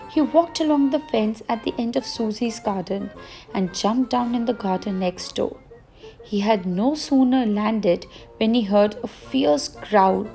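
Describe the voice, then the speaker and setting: Slow, gentle woman reading a bedtime story. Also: on the high side, creaky.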